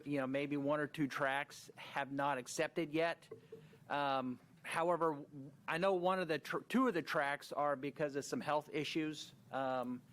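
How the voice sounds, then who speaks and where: A man's voice: fairly high pitch, fairly bright tone, clear, resonant tone. An American Midwestern man on county staff explaining a project at a commission meeting, with many fillers and restarts.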